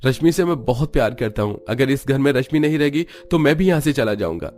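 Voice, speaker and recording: पुरुष की आवाज़ में घमंडी अमीर नौजवान, ऊँचा सुर. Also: male voice, medium pitch, animated, fast talker, darkish tone, voice pushed up, close to an everyday voice. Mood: earnest, pleading. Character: proud rich young man, blunt but devoted.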